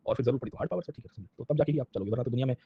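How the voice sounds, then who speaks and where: A man with an Indian accent and a husky, rough-edged voice gives an informal classroom lecture as a history teacher, mixing Hindi with English and often checking in with short tags. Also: mid-range voice, very fast, a little hiss or hum. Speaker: history lecturer for exam students. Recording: dull, lo-fi lecture audio.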